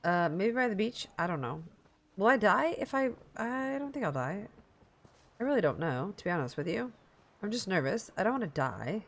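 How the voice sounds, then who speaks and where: A woman's voice: mid-range voice, sing-song, moderate pace. An American woman live streamer chatting casually as she games, informal, sweary and easily distracted. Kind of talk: casual gaming livestream chat.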